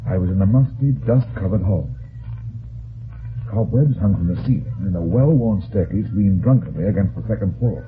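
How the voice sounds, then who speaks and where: An actor playing a tough, terse, sardonic heavyset private detective in an old-time radio drama. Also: male voice, low-pitched, a little hiss or hum. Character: hard-boiled, suspicious heavyset male private detective. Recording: tinny band-limited old radio recording.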